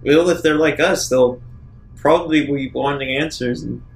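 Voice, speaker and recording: A man's voice: a little high, normal speed, gravelly, faint music behind, poor audio. A man talking casually as a player in a tabletop roleplaying game, musing and asking questions.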